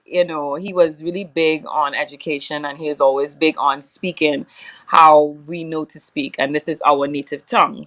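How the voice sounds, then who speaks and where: A woman's voice: low-pitched, a bit bright. A young woman from Trinidad with a Trinidadian accent, chatting casually as an interview guest, rambling with lots of fillers. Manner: rambling, many fillers, laughs often. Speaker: soca singer, guest.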